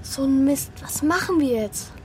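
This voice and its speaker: Young boy, worried, introspective, hesitant; higher voice; children's audio drama narrator-hero. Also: animated, normal speed, darkish tone, slight background noise. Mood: flustered. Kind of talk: children's radio play, acting and first-person narration.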